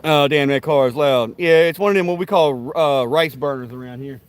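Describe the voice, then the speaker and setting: A man with a slightly high voice talks loosely to his live-stream viewers from outdoors at a backyard grill. His banter is folksy and rambling, full of cussing and rowdy trash talk aimed at the chat. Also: moderate pace, noisy background. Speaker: livestream host.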